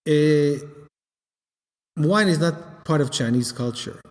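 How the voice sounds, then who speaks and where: Halting Israeli-born winemaker in reverb. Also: male voice, a little high. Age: 50s. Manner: halting, repeats words while searching for them. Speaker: winemaker guest. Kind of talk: casual interview. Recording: long hall reverb.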